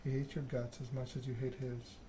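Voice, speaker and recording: A man reading a story-driven game aloud in an ASMR style, subdued and unhurried. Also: mid-range voice, level tone, noisy background. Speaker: gaming video creator. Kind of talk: ASMR narration of a text-based game.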